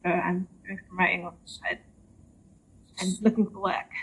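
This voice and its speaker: A young woman raised in England, guesting on a podcast, soft-spoken and hesitant, with pauses. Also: on the low side, steady tone, slightly rough, poor audio. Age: young adult. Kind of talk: casual conversation.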